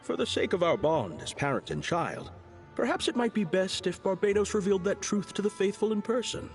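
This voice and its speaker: A man playing a composed, devout cardinal in a video game, speaking formally and with gentle wryness. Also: sits high, sing-song, faint music behind. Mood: calm, patient. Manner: formal, measured, gently wry. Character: composed, devout male cardinal, a fatherly churchman. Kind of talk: scripted video game dialogue.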